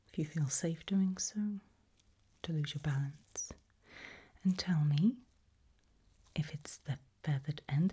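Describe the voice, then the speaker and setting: A woman whispers very breathily and unhurriedly, as if conducting a medical exam for ASMR. Her tone is low and soft, polite and calmly unsettling, with slow, careful instructions. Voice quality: breathy, mostly unvoiced whisper. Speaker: ASMR performer playing a medical examiner.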